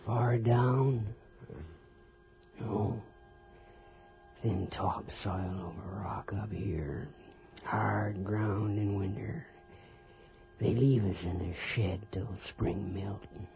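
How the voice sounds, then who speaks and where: A slow, guarded, breathy revenant countryman. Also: on the low side, heavily acted. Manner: terse, halting, folksy rustic speech. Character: rural father back from the grave, guarded and plain-spoken. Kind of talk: 1980s radio horror drama acting. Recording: dull lo-fi radio recording with music.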